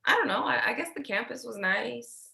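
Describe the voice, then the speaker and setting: An actress playing a tired, protective, foul-mouthed older sister in a naturalistic staged play reading, hesitant, guarded and blunt. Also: female voice, on the high side, lively intonation, moderate pace, gravelly, pushed and bright, roomy sound, exaggerated voice. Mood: weary, reluctant.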